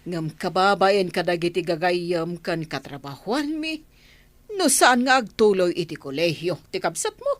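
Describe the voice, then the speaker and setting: Radio drama mother: woman, stern, exasperated, very animated pitch swings. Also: measured pace, no echo. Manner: scolding, insistent, exasperated. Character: stern, status-conscious mother of grown children.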